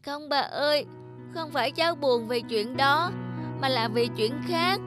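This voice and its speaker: A woman voicing an anxious young boy, an eager grandson, in a children's cartoon with earnest, expressive delivery. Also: high-pitched, measured pace, over music. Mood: remorseful.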